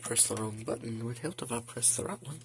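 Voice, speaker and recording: A man talking casually and haltingly through an unscripted show-and-tell as a hobbyist. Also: mid-range voice. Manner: halting, hesitant, informal. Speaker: hobbyist video host showing a weather radio collection. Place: indoor room at home. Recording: noisy home video with clicks.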